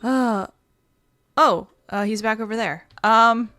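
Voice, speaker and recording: A woman's voice: fairly high pitch, takes it slowly, pushed and bright, no echo. Rambling, playful woman gamer. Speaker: streamer playing a game. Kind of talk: casual gameplay commentary.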